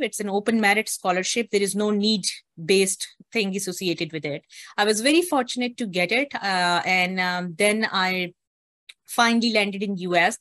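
A woman's voice: medium pitch, fairly bright tone, dry recording. A woman, an interview guest telling her life story earnestly, with frequent fillers. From Pakistan.

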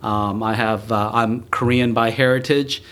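A middle-aged man from South Alabama speaks as a podcast guest, his voice mid-pitched and fairly level. He comes across warm and humble, with lots of small hesitations. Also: fairly even intonation, a little slow. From South Alabama, USA. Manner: warm, humble, many "uh" fillers. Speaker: guest, malpractice lawyer. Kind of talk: casual podcast interview. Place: law firm conference room.

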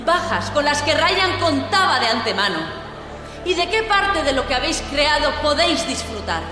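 A woman's voice: sits high, big pitch swings, normal speed. A woman playing a fiery revolutionary leader in an audio drama, giving a declamatory, rousing political speech to workers. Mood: impassioned, defiant. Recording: echoing large hall, loud music underneath.

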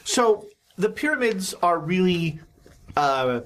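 A learned Egyptian professor of the occult, played by the game master, guarded and hesitant, lecturing between fillers. The pitch swings widely and lively within each sentence. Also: slow.

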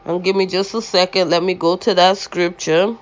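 A woman hosting a faith-based podcast as an apostle, with a loud voice, talking in a rambling way with many restarts and fillers. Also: on the low side, fairly even intonation, moderate pace, muffled recording.

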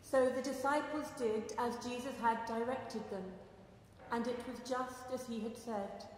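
A woman's voice: a little high, steady tone, measured pace. A woman reading scripture as a narrator, in a solemn scripted style. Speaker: narrator of a dramatic Gospel reading. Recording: echoey church, long reverb, faint noise.

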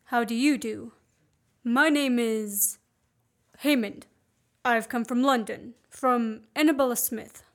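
A woman's voice: fairly high pitch, steady tone, slow, long pauses. A woman acting in a student radio play as an earnest, wary man on the run, with a polite, restrained manner. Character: earnest, wary male fugitive visitor.

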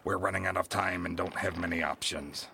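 A man playing a gruff, scheming captain of an old sailing ship in an audio drama, with commanding, salty seafaring speech. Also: deep voice, steady tone, fairly quick, slight background noise, exaggerated voice. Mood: grave, scheming. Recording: loud ship and sea ambience behind.

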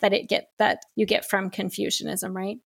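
A woman's voice: mid-range voice, little pitch movement, normal speed, vocal fry, breathy edge, no echo, studio quality. A woman hosting a podcast, methodically laying out ideas point by point in an explanatory tone. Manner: methodical, lays out points in numbered lists. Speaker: podcast host.

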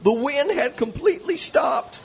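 Man playing a high-pitched, eager young cowboy sidekick. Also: expressive, unhurried, creaky, exaggerated voice. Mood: suspenseful. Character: young, eager, bookish boy sidekick in a comic western, narrating grandly. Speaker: narrator and sidekick character. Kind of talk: comic western audio drama with storytelling narration.

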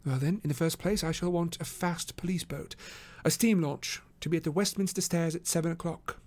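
A man playing a brisk, quietly amused gentleman detective with a precise, commanding manner in an audiobook story. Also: a little high, pitched above the performer's own voice, lightly acted.

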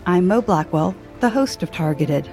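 A woman narrates a podcast trailer earnestly and with measure. Her voice is slightly low and quite mellow, over faint music. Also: lively intonation, normal speed. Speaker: podcast host and college professor.

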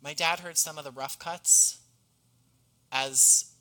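A man's voice: fairly high pitch, steady tone, slow pace, bright, forceful tone, low-quality recording. A man speaking as a podcast guest in a conversational interview, earnest and effusive in manner. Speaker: podcast guest, audio drama writer and actor.

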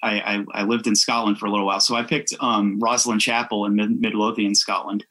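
A man chatting casually as a podcast guest, telling things informally in a halting way with frequent self-interruption. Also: on the low side, level tone, pushed and bright. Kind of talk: casual chat, informal storytelling.

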